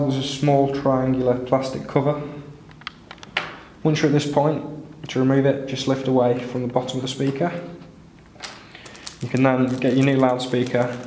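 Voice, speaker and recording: A man's voice: medium pitch, flat delivery, normal speed, darkish tone. A man narrating a step-by-step repair tutorial in a plain, instructional way. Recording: roomy echo, clear background noise, clicks.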